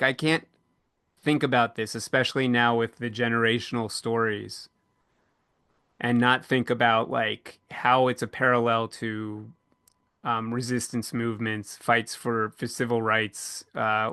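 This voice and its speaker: A man with a bright, forward voice hosts a panel conversation in a very dry sound. He is earnest and thoughtful, circling his points haltingly as he looks for words. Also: mid-range voice, no room sound. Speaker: podcast co-host and panel moderator. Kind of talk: reflective panel discussion about books.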